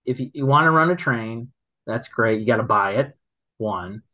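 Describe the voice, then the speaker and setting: A man telling stories in casual chat, halting and rambling, laughing often as he goes. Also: mid-range voice, lively intonation, pushed and bright, lo-fi sound. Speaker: guest, local business owner.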